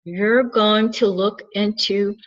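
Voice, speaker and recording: A soft-spoken, earnest woman from Turkey shares her thoughts in a halting monologue, often breaking off and restarting mid-sentence. Her voice sits at an ordinary middle pitch. The recording sounds slightly dull and lo-fi. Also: steady tone. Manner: halting, searching for words, frequent restarts. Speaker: spiritual channeler and soul coach. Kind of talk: reflective solo monologue to camera.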